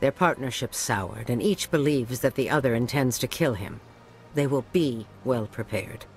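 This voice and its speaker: An actor playing a smooth-talking, calculating criminal in a video game, with polished, formal speech. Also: male voice, mid-range voice, normal speed, slight background noise, natural voice. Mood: calculating, composed. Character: smooth-talking, calculating crime partner with a business proposition. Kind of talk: video game character dialogue.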